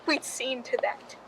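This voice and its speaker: A woman's voice: a bit bright, rough recording. A woman playing a jealous, scheming stand-in who tells her murder plot in a suspenseful radio drama. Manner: suspenseful, confessional storytelling. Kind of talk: radio drama narration and dialogue.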